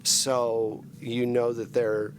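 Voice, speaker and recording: A man's voice: medium pitch, level tone, drawn-out words. Casual male game master, noisy recording. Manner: casual, conversational. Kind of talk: narrating a tabletop game.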